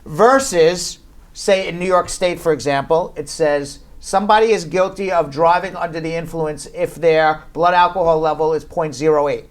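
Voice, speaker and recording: Higher-pitched man explaining law. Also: fairly even intonation, normal speed. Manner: explanatory, repeats key points, fillers like "you know". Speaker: immigration lawyer and host. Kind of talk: live-stream legal Q&A. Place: law office.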